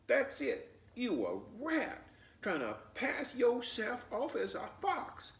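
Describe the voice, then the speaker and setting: A man's voice: extremely high pitch, wildly swooping pitch, takes it slowly, creaky, pitched above the performer's own voice, exaggerated voice. A man voicing a stubborn, sassy little Southern country girl with a folksy, skeptical way of talking, in a storybook reading. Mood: defiant, sassy. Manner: matter-of-fact folksy drawl, skeptical, teasing. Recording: roomy, echoing, distant microphone.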